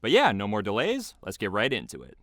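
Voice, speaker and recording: A man's voice: lowish voice, huge pitch swings, a little fast, over music. A man giving casual behind-the-scenes commentary as a video creator, rambling loosely in a small homemade booth.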